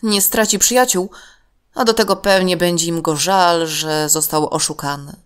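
A woman narrating a children's story from a script in an expressive, clearly enunciated way. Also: fairly low pitch, normal speed.

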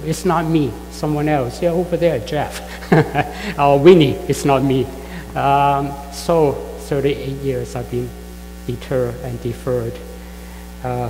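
A middle-aged man with a Chinese accent, a guest pastor whose first language is Fujian, introducing himself to a congregation in a halting way with many fillers. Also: medium pitch, darkish tone. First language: Fujian (Min Chinese). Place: church sanctuary. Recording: long church reverb, faint music.

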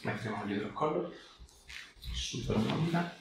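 A man working as a chiropractor, giving a patient brief, reassuring instructions in a creaky voice. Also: medium pitch, animated, moderate pace. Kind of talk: giving short hands-on instructions to a patient during an exam. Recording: echoey room, distant mic, background noise.

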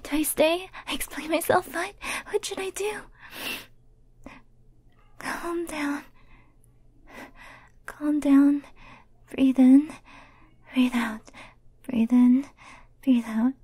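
A woman's voice: high-pitched, fairly even intonation, extremely slow, pauses often, mellow, slightly rough, no room sound, full character voice. A woman playing a shy, obsessive young secret admirer in an intimate roleplay audio, anxious and hesitant, often murmuring breathily. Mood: panicked. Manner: nervous, hesitant, stammering when flustered. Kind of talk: intimate roleplay audio acting, first-person monologue.

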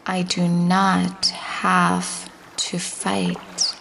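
A woman's voice: on the low side, monotone, fairly bright tone, a little hiss or hum. A woman leading a guided meditation with affirmations, speaking in a soothing way that at times drops toward a whisper. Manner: slow, soothing, breathy near-whisper at times.

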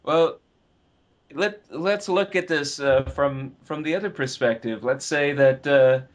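A man co-hosting a casual discussion, speaking haltingly with many pauses and repeated phrases. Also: fairly high pitch. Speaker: podcast co-host. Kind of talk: casual film and game discussion.

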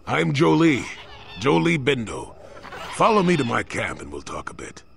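An actor playing a crotchety old hermit Jedi in a video game, with a wry, gruff, sardonic manner. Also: male voice, mid-range voice, huge pitch swings, moderate pace, a little hiss or hum, exaggerated voice. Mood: gruff, amused. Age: older. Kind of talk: video game character acting.

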